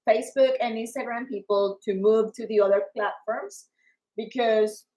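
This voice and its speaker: A Colombian woman with an accent, co-hosting a live worship stream in an energetic, excitable way. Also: fairly high pitch, moderate pace, low-quality recording. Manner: energetic, excitable, with squeals and laughs. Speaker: co-host of an online worship show. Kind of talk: casual live-stream chat. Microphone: live stream on phones and devices.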